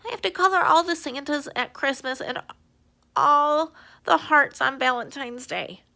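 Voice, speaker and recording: Overworked red crayon, done by a lady, storybook, loud weary griping, bright voice w some creak. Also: sits high, lively intonation, measured pace, voice pushed up, full character voice. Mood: overworked, indignant. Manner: emphatic, weary complaining. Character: overworked, exasperated red crayon, loudly complaining. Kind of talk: children's storybook read-aloud.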